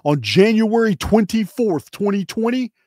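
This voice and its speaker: A middle-aged American man hosts a solo presentation in a somewhat high-pitched voice. He speaks slowly and emphatically, breaking his commentary into short, deliberate phrases. The sound is close and dry, from a microphone right by him. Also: expressive, darkish tone, no room sound. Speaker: video host and presenter. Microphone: close microphone on boom arm.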